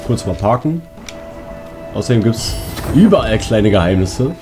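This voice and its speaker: A man's voice: fairly low pitch, lively intonation, a little slow. A man giving casual, colloquial gameplay commentary in speech, talking half to himself and half to his viewers. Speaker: let's player. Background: game music.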